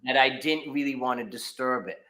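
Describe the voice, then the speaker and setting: Bright-voiced Boston man telling stories. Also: medium pitch, brisk. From New England, United States. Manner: rambling, many restarts and fillers. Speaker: guest. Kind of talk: casual podcast chat.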